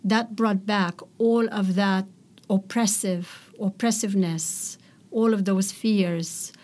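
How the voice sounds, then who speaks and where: A woman's voice: medium pitch, steady tone, a little mellow. Thoughtful woman poet. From Romania. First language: Romanian. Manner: thoughtful, measured, searching for words. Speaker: poet, interview guest. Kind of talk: poetry reading and reflective interview answers.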